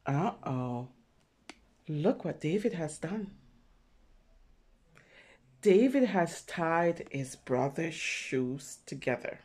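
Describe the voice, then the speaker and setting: Slow-talking woman reading to young children. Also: sits low, very animated, darkish tone, breathy edge. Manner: simple, child-directed explaining with check-in questions. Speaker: children's read-aloud storyteller. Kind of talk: picture book read-aloud with commentary for young children.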